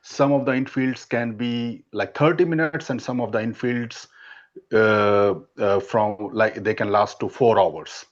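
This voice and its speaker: A man with an Indian accent, a native Hindi speaker, makes his case in an online debate. He stops and restarts often, filling gaps with uh. His voice comes through a dull, lo-fi mic. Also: lowish voice. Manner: halting, many restarts and fillers. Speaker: dating coach.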